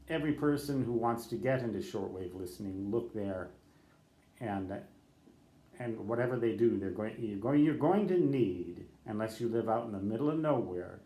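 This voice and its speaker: A man's voice: on the low side, a little mellow, echoey room. An older man who is a ham radio operator, giving rambling advice off the cuff, correcting himself and spelling out letters in radio code. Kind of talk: unscripted talk to camera.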